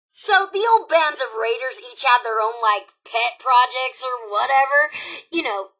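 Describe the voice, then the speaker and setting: A woman voicing a brash, sarcastic, scheming young-sounding female raider robot in a video game, with a raspy, sassy, slangy delivery. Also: high-pitched, bright, forceful tone, exaggerated voice, rough recording. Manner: sassy, slangy, mock-sweet, flippant. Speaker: quest-giving game character. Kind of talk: video game character dialogue.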